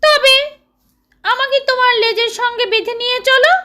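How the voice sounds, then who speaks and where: A woman's voice: extremely high pitch, steady tone, moderate pace, pushed and bright, pitched above the performer's own voice. A woman voicing a timid, cowardly jackal, whining and panicky, in a children's story. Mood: wary, insistent. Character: timid cowardly jackal, anxious tiger's nephew.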